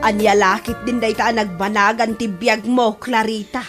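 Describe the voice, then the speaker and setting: A woman's voice: a little high, steady tone, moderate pace, over loud music, close to an everyday voice. A woman with an Ilocano accent playing a chiding mother of grown daughters in a comedy radio drama. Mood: reproachful.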